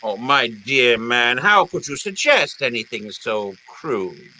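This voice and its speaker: A man's voice: mid-range voice, big pitch swings, slow pace, sharp tone, a little hiss or hum, exaggerated voice, rough recording. A man playing a genteel, wily crime boss in a radio detective drama, speaking in a pompous, florid, courteous way. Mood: smooth bargaining. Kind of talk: old time radio detective drama acting.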